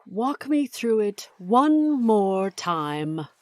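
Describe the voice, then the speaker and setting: An actress playing a stern, haughty, long-suffering female client in an audio drama, speaking deliberately and with exasperation. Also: mid-range voice, very animated. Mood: angry.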